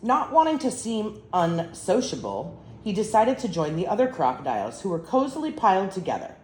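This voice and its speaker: Expressive older woman reading a storybook aloud. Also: mid-range voice, moderate pace, echoey room, slight background noise. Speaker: grandmother reading stories. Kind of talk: storybook read-aloud for children.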